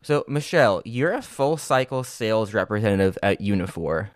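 A man hosting a casual podcast interview, playful, with quick bursts of energy. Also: fairly low pitch, animated, no room sound, clean studio sound. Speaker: podcast host.

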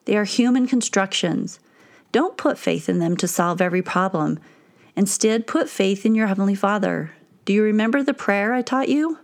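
A woman reading as Jesus, a calm patient teacher. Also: mid-range voice, normal speed, natural voice.

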